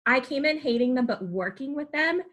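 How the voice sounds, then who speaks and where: A woman's voice: high-pitched, lively intonation, a little fast, a bit bright. A young woman from Arizona with an American accent, hosting a podcast in a casual conversational style. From Arizona, United States. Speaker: podcast host. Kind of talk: casual interview chat.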